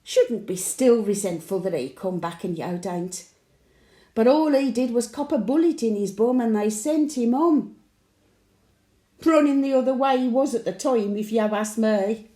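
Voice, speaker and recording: A woman's voice: fairly high pitch, expressive, measured pace, mellow, roomy sound. A woman playing a cheeky, wistful elderly market-trader widow with a Birmingham accent, telling her tales in a chatty, colloquial audio monologue. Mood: fond, cheeky. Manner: colloquial storytelling with dry chuckling asides. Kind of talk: audio monologue, chatty diary addressed to late husband.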